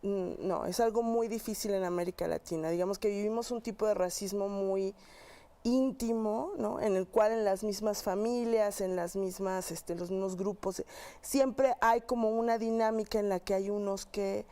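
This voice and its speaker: A woman's voice: mid-range voice, fairly even intonation, moderate pace, warm tone. A woman with a Mexican accent answering as a research guest in an interview, explaining thoughtfully and often checking with short tag questions.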